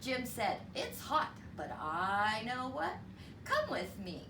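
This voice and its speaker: A woman reading a story aloud to children in an expressive, deliberate, teacherly way. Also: fairly high pitch. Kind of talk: expressive read-aloud storytelling with counting lesson. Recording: roomy, distant mic with clear background noise.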